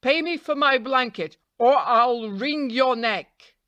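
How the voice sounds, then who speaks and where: A shrewd travelling peddler in a fairytale , read by a woman, businesslike in every bargain. The man's lines are pitched a little up and sound dry. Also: slow, a bit bright, no room sound, dull audio. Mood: pressing.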